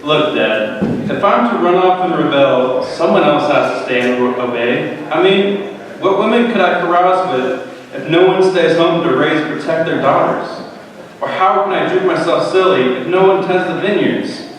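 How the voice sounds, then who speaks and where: A man's voice: on the high side, lively intonation, moderate pace, lightly acted. A man playing a brash, selfish young prodigal son in a dramatic reading of a parable, theatrical and emphatic. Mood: pleading, self-centred. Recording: roomy echoing hall, distant microphone.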